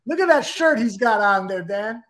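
Casting: high-pitched male podcast host, loose, swears freely. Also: animated, moderate pace, a loud crowd. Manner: loose, profane, laughs easily. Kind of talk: casual chat.

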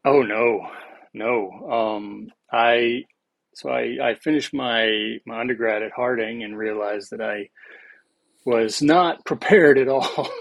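A man's voice: medium pitch, takes it slowly, vocal fry, no room sound, low-quality recording. A man in his forties who grew up in South Africa, talking casually as a podcast guest, reflective and laughing often. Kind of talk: casual conversation.

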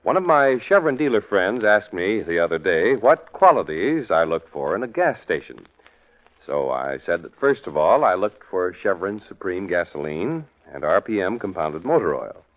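An American man announcing in 1940s radio style, narrating and pitching in a folksy, conversational scripted way. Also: big pitch swings, moderate pace. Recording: muffled vintage radio recording.

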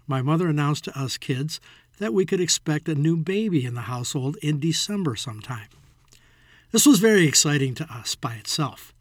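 A man's voice: a little high, dry recording, clean studio sound. A man in his sixties with an American accent, reading his own written childhood memoir as a scripted narration. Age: 60s.

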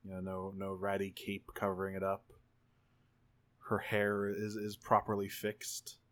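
A man's voice: low-pitched, monotone, unhurried, fairly bright tone. A man playing a tabletop roleplaying game, casually improvising what his character does in an informal, hedging way. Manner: informal, hedging, self-repeating.

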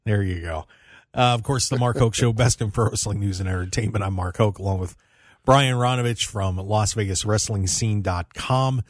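A man's voice: lowish voice, normal speed, no echo. Man hosting casual talk radio, close studio sound. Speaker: radio show host.

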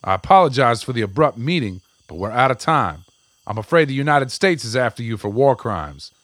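A man's voice: mid-range voice, sing-song, brisk, fairly bright tone, no echo. An actor playing a mysterious, blunt old man who talks with urgency in a radio play. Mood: grave. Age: older.

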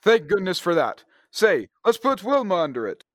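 A man's voice: high voice, sing-song, normal speed. A young man playing an eager, adventurous space hero in old-time radio serial style, earnest and full of gee-whiz enthusiasm. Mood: excited, eager. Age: young adult. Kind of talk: 1930s radio serial acting.

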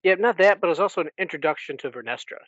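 A man's voice: high voice, animated, very fast, a bit bright, dry recording, highs cut off. A man co-hosting a podcast, chatting casually through a book recap in a rambling way and often correcting himself. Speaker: podcast co-host.

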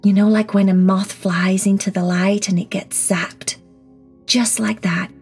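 Soft breathy lady doing a kids guided meditation, bit of creak in the voice, gentle, music underneath. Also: mid-range voice, flat delivery, measured pace. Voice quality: soft, breathy, often near a whisper. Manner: gentle, reassuring, simple wording for children. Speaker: guided meditation teacher and host. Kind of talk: children's guided meditation, slow soothing instruction. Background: soft background music.

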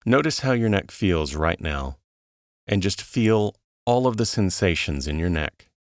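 A man narrating a guided relaxation in a calm, soothing, measured way, leaving long pauses between instructions. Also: low voice, dry recording, clean studio sound.

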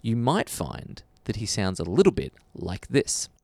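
A man's voice: lowish voice, measured pace, creaky, no room sound. A man co-hosting a podcast, telling jokey stories in casual chat. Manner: animated, jokey storytelling. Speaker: podcast co-host.

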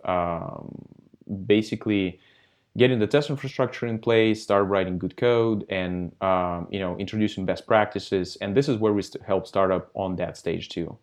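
Guy with a deep voice, lots of ums, chatting in an interview. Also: a little mellow. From Russia. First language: Russian. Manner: halting, lots of fillers. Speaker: guest, software company founder. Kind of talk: casual interview answers.

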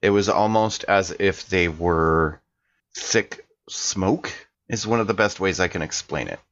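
A man's voice: deep voice, measured pace, a bit bright. A man playing a tabletop game, chatting casually in character, on a slightly dull recording.

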